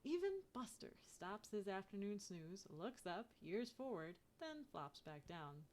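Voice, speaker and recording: A woman reading a children's picture book aloud in an expressive way, turning sing-song on chants. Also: medium pitch, a little slow. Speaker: storybook reader.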